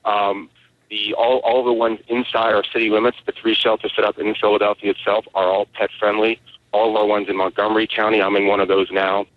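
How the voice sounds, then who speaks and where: A man, a spokesman giving halting, informative answers in a live phone interview over a tinny line. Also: fairly low pitch, level tone, dry recording. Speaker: relief agency spokesman. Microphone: telephone.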